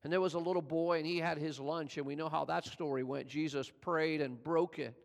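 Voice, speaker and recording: An older pastor from California preaching a Sunday sermon. His voice sits a little high and has a gravelly fry to it. His words ring out with a long tail of reverb from the hall. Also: steady tone, fairly quick. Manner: conversational preaching, builds in pitch and volume as he tells the story.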